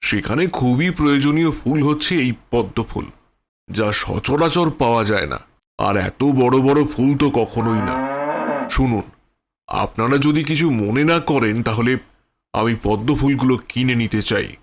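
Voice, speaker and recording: A man voicing a courteous, brisk city flower merchant in a cartoon, politely explaining himself. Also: medium pitch, lightly acted. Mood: businesslike. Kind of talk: cartoon fairy-tale voice acting.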